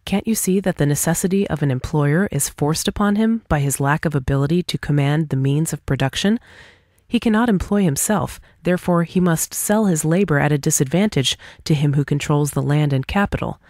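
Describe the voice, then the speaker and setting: A woman reading the part of a confident, pointed individualist debater in an audiobook dialogue, articulate and deliberate. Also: sits low, darkish tone, no room sound, clean studio sound, close to an everyday voice. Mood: pointed, explanatory. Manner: articulate, deliberate, rhetorical questioning.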